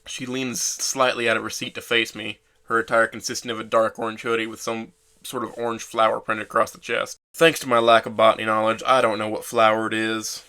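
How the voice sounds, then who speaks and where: A man's voice: on the low side, level tone, brisk, sharp tone, clear, resonant tone. A man playing a bitter, self-loathing young man who narrates his own story in a sardonic, brooding way for an audio drama. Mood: dazed, confused.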